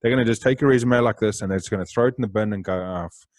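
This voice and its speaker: A South African man hosting a podcast, talking loudly, fast and with excitement in casual interview chat. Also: lowish voice, dry recording, studio quality. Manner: loud, excitable, talks fast. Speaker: podcast host.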